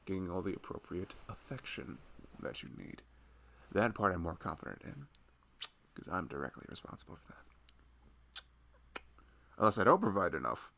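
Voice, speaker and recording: A man playing a big, caring husband in an intimate ASMR roleplay, talking softly and fussing affectionately over his pregnant wife. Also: low-pitched, creaky, exaggerated voice. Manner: soft, tender, fussing, playful.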